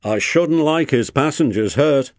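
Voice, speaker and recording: Man as an earnest, lively little diesel engine. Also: mid-range voice, a little slow, voice pushed up, natural voice. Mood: worried. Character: earnest, worrying, easily hurt male diesel engine.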